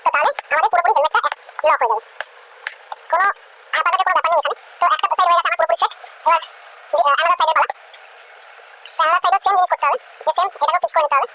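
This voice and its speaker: A woman's extremely high voice rattles through tutorial steps at breakneck speed. Some ambient noise sits behind the narration. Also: rapid-fire, long pauses, sharp tone. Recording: noisy ambient background.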